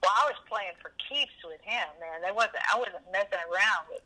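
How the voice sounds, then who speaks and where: A woman in her seventies reminiscing as an interview guest, animated and emphatic, often rambling and repeating herself. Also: medium pitch, fairly quick, rough recording. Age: 70s.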